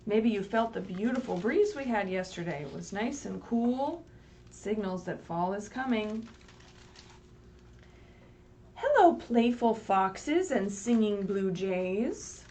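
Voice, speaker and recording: A woman's voice: mid-range voice, lively intonation, slow, mellow. A woman leading a children's story time, reading aloud in a sing-song way and patiently explaining in simple words with frequent questions to the listeners. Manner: sing-song, asks questions and checks in with "right?". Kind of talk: read-aloud picture book story time for young children. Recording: distant mic, faint background noise, livestream.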